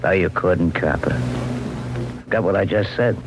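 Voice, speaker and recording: An actor playing a surly, cagey ex-convict gangster who talks in taunting riddles in a 1950s radio crime drama. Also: male voice, deep voice, normal speed. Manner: taunting, cryptic tough-guy talk. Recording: old radio broadcast, music underneath, noisy.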